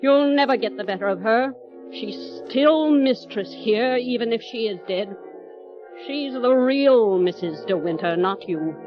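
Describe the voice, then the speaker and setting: Menacing, obsessive housekeeper for vintage radio drama: female, slow and insinuating, muffled sound, faint music. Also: a little high, expressive. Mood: menacing, scornful. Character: obsessive, menacing housekeeper devoted to her dead mistress. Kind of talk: 1930s radio drama acting.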